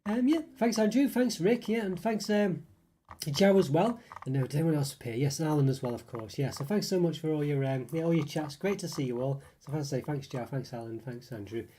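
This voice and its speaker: Rambling man, fast and mellow. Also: on the high side, slight background noise. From United Kingdom. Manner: rambling, hedging, many fillers. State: congested from a cold. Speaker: YouTube food reviewer. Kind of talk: casual live-stream review.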